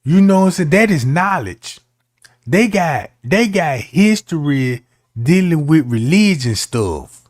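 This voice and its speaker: A man's voice: on the high side, sing-song, measured pace, no echo, clean studio sound. A man giving casual commentary as a streamer, talking in a slangy, argumentative way.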